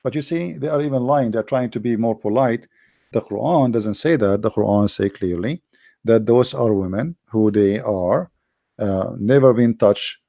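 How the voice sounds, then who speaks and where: A man whose first language is Arabic argues with a caller over a video call, pressing the same points again and again. His voice is mellow and dark, and the sound is close and dry. He fills his speech with rhetorical questions. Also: medium pitch, normal speed, dry recording. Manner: insistent, repeats phrases, rhetorical questions. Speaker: host debating a caller. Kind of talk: argumentative call-in debate.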